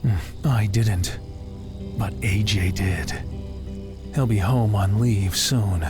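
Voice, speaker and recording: A man's voice: fairly low pitch, slow, over music. A man acting a blunt, protective young man in a dramatized audiobook, terse and insistent. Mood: grim.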